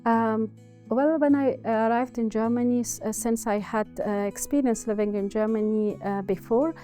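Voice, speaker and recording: A woman in her forties from Afghanistan with an Afghan accent gives a personal interview account in hesitant, halting English. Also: on the high side, steady tone, warm tone, soft background music. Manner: hesitant, halting phrasing in non-native English. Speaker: interviewee, university researcher and women's refugee supporter.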